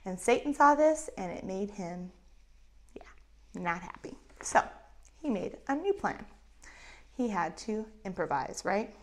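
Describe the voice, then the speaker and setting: A woman in her thirties with a lapel mic, telling a Bible story to children in an animated way with acted-out voices. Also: medium pitch, measured pace, long pauses. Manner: animated, acts out voices of characters. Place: indoors in front of a map backdrop.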